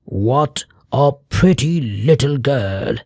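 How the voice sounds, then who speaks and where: A storyteller voicing a smug, scheming mother toad in a fairy tale, with slow, self-satisfied delivery. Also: medium pitch, expressive, mellow, breathy edge, dry recording, studio quality, heavily acted.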